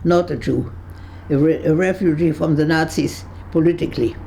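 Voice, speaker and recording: Creaky-voiced woman over one hundred. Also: sits low, warm tone, slight background noise. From Berlin, Germany. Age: 100s. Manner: halting, searching for words with frequent restarts; dry humor, laughs easily. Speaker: interviewee. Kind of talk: recalling her past in an interview. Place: her home on a kibbutz.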